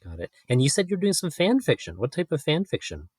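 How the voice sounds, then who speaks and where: A man asking casual podcast interview questions, haltingly, rephrasing as he goes. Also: a little high, wildly swooping pitch, darkish tone, husky, dry recording.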